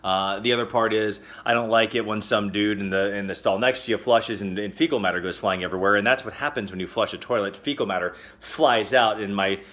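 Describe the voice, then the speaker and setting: A man hosting a casual comedic news roundup, chatty and joking, with sudden loud exclamations. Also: lowish voice, a little fast, few pauses. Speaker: host.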